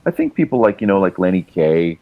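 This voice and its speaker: A man's voice: sits low, big pitch swings, fairly quick, no room sound, narrow, tinny audio. A middle-aged man from Canada, a podcast guest who tells rambling stories with lots of restarts and laughs, swearing now and then. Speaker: podcast guest, writer and musician. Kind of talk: casual chat, storytelling.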